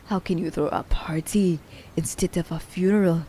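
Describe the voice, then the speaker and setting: A woman playing a cunning, haughty immortal assassin in an ASMR audio drama, speaking in theatrical, formal diction, breathy and often dropping toward a whisper. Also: fairly low pitch, fairly even intonation, normal speed, mellow, a little hiss or hum, lightly acted. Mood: scheming, disdainful. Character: immortal female assassin, cunning, teasing, haughty, romancing her captive.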